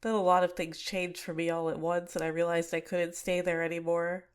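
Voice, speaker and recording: Soft-spoken young villainess, manipulative, close-mic ASMR; a woman voices her. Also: lowish voice, level tone, a little fast, smooth, close to an everyday voice. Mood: shy, apologetic. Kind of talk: ASMR roleplay.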